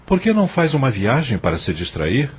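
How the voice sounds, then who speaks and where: An actor plays a crafty, controlling old father-in-law in an audio novel. The voice is extremely rough and husky, with dramatic swoops in intonation. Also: mid-range voice, huge pitch swings, brisk, exaggerated voice. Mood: falsely kind, persuasive.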